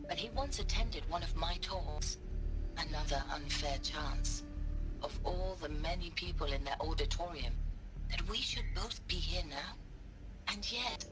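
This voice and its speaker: An actress playing a solemn, conflicted art historian in a game audio log, speaking in a halting, reflective way. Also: female voice, lowish voice, poor audio.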